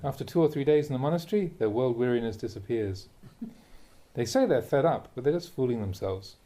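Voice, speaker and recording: A man, a Buddhist monk, reads a translated spiritual talk aloud in a measured, emphatic way, posing rhetorical questions. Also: mid-range voice, lively intonation, dark tone. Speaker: Buddhist monk reading a teacher's talks aloud. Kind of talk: reading a translated Dharma talk aloud, with brief asides.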